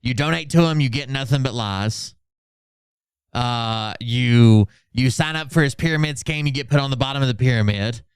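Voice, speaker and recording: A man's voice: medium pitch, moderate pace, clear, resonant tone, no echo, clean studio sound. A man hosting a livestream, giving mocking, sarcastic commentary on social media posts in casual, sweary chat. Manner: sarcastic, sweary, mock-dramatic when reading posts out. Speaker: livestream host.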